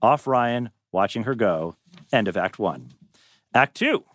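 Animated man narrating stage directions. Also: lowish voice, a little slow, no room sound. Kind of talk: scripted narration at a table read.

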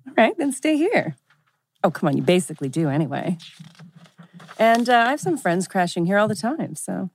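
Woman reading as husky, cool young girlfriend. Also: medium pitch, very animated, moderate pace. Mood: welcoming. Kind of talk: table read acting.

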